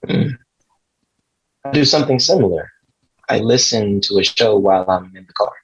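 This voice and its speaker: An awkward, earnest driver played by a man with a lowish, husky voice. He explains things gently and haltingly, as in a film script reading. The audio is a little dull. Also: animated. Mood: friendly. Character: awkward, earnest male driver Chaz, kindly conspiracy believer. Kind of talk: table read of a film script. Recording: dull, slightly muffled audio.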